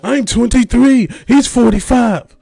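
A man in his late thirties rants in a high voice, heated and profane, and mocks his critics as he talks. The sound is dry and close, with no room around it. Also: unhurried, creaky, dark tone, breathy edge, dry recording. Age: 30s. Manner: heated, profane, mocking rant with mimicked quotes. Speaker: podcast host. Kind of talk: ranting commentary.

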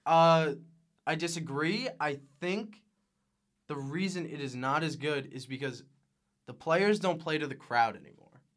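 A man's voice: on the high side, measured pace, fairly bright tone. A young man giving emphatic, opinionated takes as a guest on a casual sports podcast.

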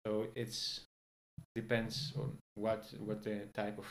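Low-voiced Greek man, hesitant speech. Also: completely flat delivery, takes it slowly, a little hiss or hum. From Greece. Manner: hesitant, many fillers and restarts. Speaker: guest. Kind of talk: casual interview in English.

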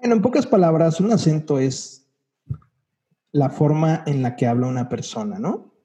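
A man from Mexico City with a Mexico City accent, co-hosting a casual chat and explaining things in a relaxed way. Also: on the high side, moderate pace. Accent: Mexico City Spanish. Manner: explaining, relaxed, asks questions. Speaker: podcast co-host.

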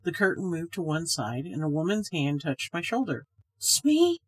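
A woman's voice: deep voice, lively intonation, moderate pace, breathy edge, no room sound, low-quality recording. A woman reading a scripted ghost story aloud as the narrator. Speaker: story reader, podcast host.

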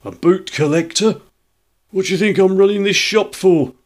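A man voicing a surly, gruff shopkeeper with a thick, husky voice, firing off indignant questions in a story reading. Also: medium pitch, pitched above the performer's own voice, natural voice. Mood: rising indignation. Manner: starts slow, grows into indignant ranting questions.

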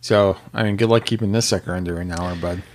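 A man's voice: sits low, fairly even intonation, fast. A man co-hosting a casual watch-along podcast, jokey and teasing and laughing often. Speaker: podcast co-host.

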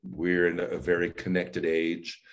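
A man who works as a voice and speech coach, explaining his work in an interview with animated, demonstrative emphasis. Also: sits low, a little slow, dull audio.

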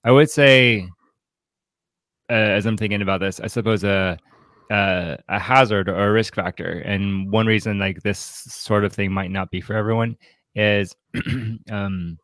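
Low-pitched, bookish man hosting a podcast. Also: fairly even intonation, a bit bright, no room sound. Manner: halting, thoughtful, bookish phrasing. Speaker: podcast host. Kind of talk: casual literary discussion.

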